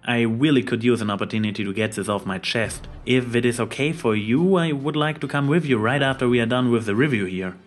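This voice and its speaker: A man's voice: medium pitch, fairly quick, hardly any pauses, faint music behind. A man hosting a scripted review, giving commentary as the show's presenter. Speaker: host of a review channel.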